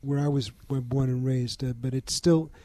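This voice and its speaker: Older New man, mellow dry voice. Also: mid-range voice, steady tone, dry recording. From the Bronx, New York. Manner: measured, informative, lists details. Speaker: museum founder, guest. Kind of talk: interview conversation.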